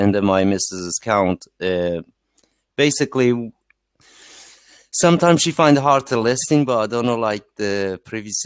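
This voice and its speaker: A man with a Greek accent joins a casual online chat as a caller. His voice is fairly low and recorded dry. He speaks halting, non-native English, informally and unscripted. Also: no room sound. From Greece. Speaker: caller joining a live chat. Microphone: video call.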